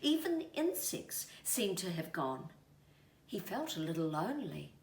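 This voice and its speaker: Female storyteller for young children: lively intonation, slow and deliberate, roomy recording with faint noise. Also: lowish voice, recorded from a distance. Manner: expressive, deliberate.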